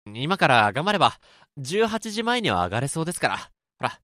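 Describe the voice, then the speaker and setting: A man's voice: a little high, big pitch swings, moderate pace, sharp tone. A man playing a considerate, upbeat office worker in a radio drama, soothing and coaxing gloomy colleagues. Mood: coaxing, encouraging. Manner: coaxing, placating.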